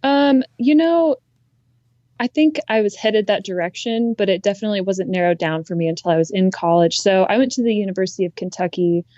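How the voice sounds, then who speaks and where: A young woman from Ohio, a conservationist, talking in a relaxed, reflective way as a guest in an interview. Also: medium pitch, brisk, dry recording, highs cut off. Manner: relaxed, reflective, frequent ums. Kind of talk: casual interview conversation.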